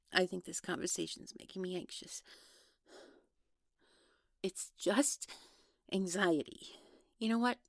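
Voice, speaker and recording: Woman playing a defensive, gravelly-edged young woman. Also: lowish voice, dry recording, clean studio sound, heavily acted. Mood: evasive, anxious. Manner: halting, exasperated, conversational. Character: defensive, anxious young woman, casual and evasive. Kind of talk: audio roleplay drama.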